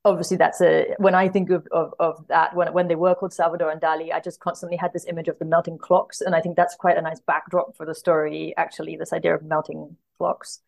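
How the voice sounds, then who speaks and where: A woman's voice: low voice, flat delivery, fast, vocal fry, hardly any pauses. A woman speaking as a guest translator in casual podcast chat, thoughtfully telling anecdotes and often circling back to rephrase.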